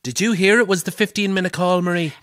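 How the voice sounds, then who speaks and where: An actor with a Dublin Irish accent playing a sharp-tongued, vain, irritable pantomime dame in an audio drama, camp and exasperated. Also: male voice, high voice, fast talker, no echo, studio quality. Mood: irritated, dismissive.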